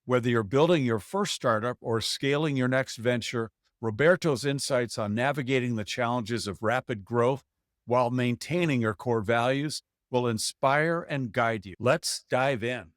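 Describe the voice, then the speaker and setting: A man's voice: medium pitch, normal speed, no room sound, studio quality. A man hosting a startup podcast, reading a polished intro and then chatting casually with his guest. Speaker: podcast host. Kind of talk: scripted intro then casual interview chat.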